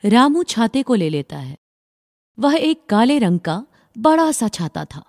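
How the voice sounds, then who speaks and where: Mellow, expressive woman storyteller. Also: a little high, slow pace, no room sound, clean studio sound. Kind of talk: scripted children's story narration.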